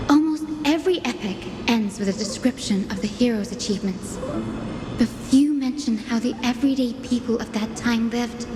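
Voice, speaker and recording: An actress playing an earnest, gentle, idealistic young student in a video game, speaking in a reflective storytelling manner. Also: female voice, a little high, noisy background, close to an everyday voice. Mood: eager. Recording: reverberant hall, music behind.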